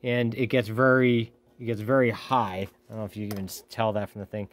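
A man's voice: lowish voice, little pitch movement, a little fast. A man talking casually as he welds in his home garage, rambling and correcting himself often. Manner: rambling, self-correcting, offhand. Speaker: hobby welder, channel host. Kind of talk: casual workshop talk.

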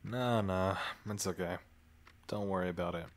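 A man's voice: sits low, normal speed, close to an everyday voice. A man acting an anxious, subdued young goth in an ASMR roleplay. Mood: low-key.

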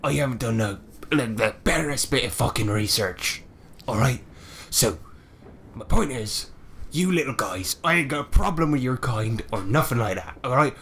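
A man's voice: medium pitch, expressive, normal speed, vocal fry, a bit bright, a little hiss or hum. A man voicing a hapless, chatty public defender in a tabletop game, rambling bluntly in colloquial speech. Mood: matey, defensive. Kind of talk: tabletop roleplay character voice.

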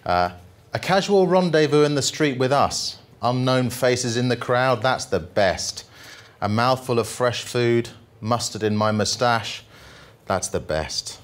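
A middle-aged man reading poetry aloud to a room with deliberate, mock-solemn phrasing, speaking into a handheld microphone. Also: medium pitch, lively intonation. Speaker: journalist reading poems at a workshop. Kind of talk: live poetry reading with casual asides to an audience. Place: indoor presentation room with projection screen.

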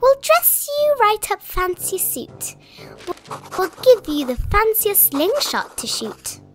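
A woman's voice: very high voice, very animated, takes it slowly, pitched above the performer's own voice, heavily acted. A woman voicing a confident, jovial old male military chief who reassures emphatically in a storybook read-aloud. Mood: reassuring.

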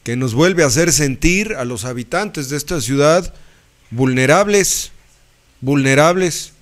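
A man, presenting radio news from a studio mic in a measured, emphatic way. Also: fairly high pitch, animated. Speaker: news anchor. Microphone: studio mic on arm.

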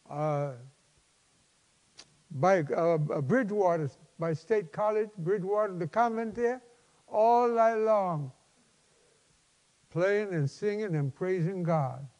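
High-pitched elderly preacher in a reverberant hall. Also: male voice, slow pace, long pauses, darkish tone. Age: 70s. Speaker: pastor. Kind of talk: sermon with personal testimony. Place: large church sanctuary, at a lectern.

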